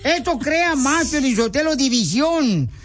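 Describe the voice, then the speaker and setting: A man's voice: very high voice, expressive, measured pace, a bit bright, faint music behind. A man hosting a radio show, bantering with co-hosts and teasing them with playful insults. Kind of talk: joking radio banter with listener calls.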